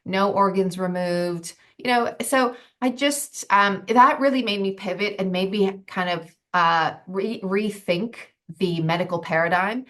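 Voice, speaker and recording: Woman, forties, interview guest, nutritionist, hesitant, restarts, mid-pitched voice. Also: unhurried, hardly any pauses, fairly bright tone. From United States. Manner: thoughtful, many ums and restarts. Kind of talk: casual interview talk.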